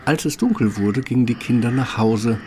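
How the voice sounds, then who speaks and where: A man's voice: medium pitch, normal speed, warm tone, soft background music. A man reading scripted fairy-tale narration as the storyteller of a radio play.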